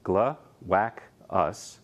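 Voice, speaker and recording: A man's voice: deep voice, extremely slow. A man telling local history in an interview, in a storytelling style. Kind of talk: storytelling interview with read-out passages.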